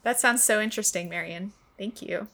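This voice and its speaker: A woman in an unscripted literary discussion, speaking in a halting, reflective manner. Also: medium pitch, sharp tone. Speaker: book discussion podcast participant.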